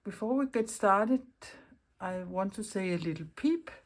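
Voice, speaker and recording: Danish woman, halting friendly chat. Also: mid-range voice, animated. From Denmark. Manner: halting, searching for English words, friendly. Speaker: craft channel host. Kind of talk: casual craft vlog chat.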